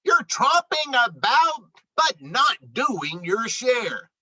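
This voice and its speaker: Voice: medium pitch, big pitch swings, extremely slow, sharp tone, pitched above the performer's own voice, exaggerated voice, poor audio. A woman voicing exasperated animal friends in a children's storybook, scolding and questioning their bossy leader. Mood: glaring, accusing.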